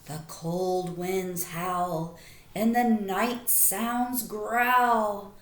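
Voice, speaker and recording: A woman reading a picture book aloud to children like a teacher, expressive and deliberate, pausing to explain. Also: medium pitch. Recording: roomy echo.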